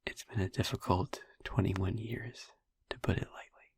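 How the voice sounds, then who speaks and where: A man playing a gentle, wistful vampire boyfriend in an intimate audio roleplay, speaking tenderly and hesitantly in a husky, breathy voice. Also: fairly even intonation, no echo, in a whisper, full character voice. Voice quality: husky, breathy, gravelly low voice. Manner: tender, hesitant, slow with pauses. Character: gentle, wistful male vampire boyfriend, youthful, haunted by past. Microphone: close, dry microphone.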